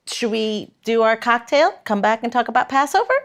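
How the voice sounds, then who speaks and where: A woman co-hosting in casual chat, animated and jokey, quick to react to what others say. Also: on the high side. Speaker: podcast co-host.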